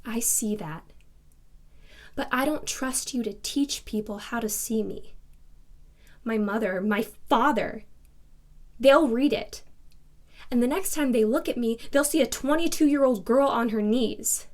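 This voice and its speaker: A woman's voice: on the high side, measured pace, pauses often, a little mellow, lightly acted. An actress playing an indignant young woman in a contemporary dramatic monologue, speaking in an emphatic, confrontational and articulate way. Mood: bitter, resolute. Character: defiant, indignant twenty-two-year-old woman confronting a reporter.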